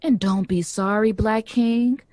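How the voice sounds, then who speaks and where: A woman's voice: mid-range voice, steady tone, measured pace, darkish tone, no room sound, close to an everyday voice. A woman playing a sassy, teasing nurse in an audio drama, bantering in casual slang. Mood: teasing, playful. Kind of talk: naturalistic audio drama acting.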